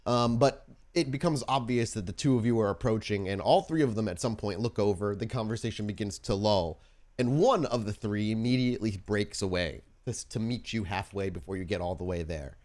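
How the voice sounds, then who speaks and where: A man's voice: lowish voice, brisk, darkish tone. A man working as game master, improvising descriptive, informal narration for a tabletop roleplaying game.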